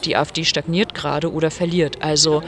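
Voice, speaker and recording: A woman's voice: low-pitched, level tone, brisk, fairly bright tone. A woman from Rostock, an author giving earnest, explanatory answers in an interview, recorded at a venue before her reading. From Germany, Rostock.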